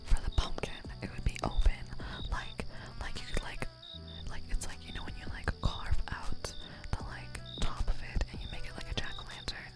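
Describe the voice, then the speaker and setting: A woman live streamer whispering softly to her viewers, playful and giggly, rambling with many fillers, close to the microphone. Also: normal speed. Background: music playing.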